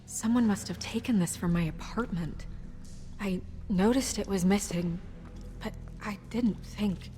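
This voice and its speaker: A woman's voice: mid-range voice, warm tone, close to an everyday voice. Poised, serious woman actor over faint music. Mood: troubled. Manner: earnest, measured, thoughtful. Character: poised, serious young woman official, firm and independent. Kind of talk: video game voice acting.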